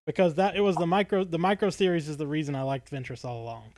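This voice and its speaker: Guy on a podcast, voice a bit high, thinking things through casually, very dry sound. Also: fairly even intonation, quick pace, a little mellow, no room sound. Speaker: podcast co-host. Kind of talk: casual discussion.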